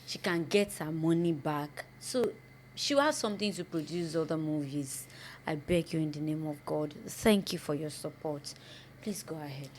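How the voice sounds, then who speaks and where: A Nigerian woman with a Yoruba accent hosts the conversation, pleading with viewers and murmuring soft "hmm" replies. Her voice sits somewhat low for a woman. Faint noise hangs behind her. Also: moderate pace. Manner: pleading directly to the audience; frequent soft "hmm" backchannels. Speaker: talk show host. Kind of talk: interview and appeal to viewers.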